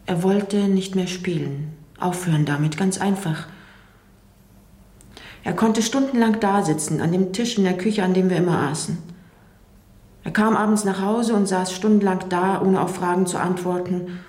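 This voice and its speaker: An actress as a pensive grown daughter, mellow-voiced. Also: female voice, fairly low pitch, normal speed, echoey room, close to an everyday voice. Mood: wistful, pensive. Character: grown daughter recalling her withdrawn late father, pensive. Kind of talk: radio play monologue.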